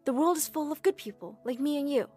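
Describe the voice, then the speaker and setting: A woman voicing a caring young man who speaks softly and reassures. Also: high-pitched, animated, fast talker, soft background music, voice pushed up. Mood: reassuring. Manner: gentle and reassuring, firm when angry. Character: caring, protective young boyfriend.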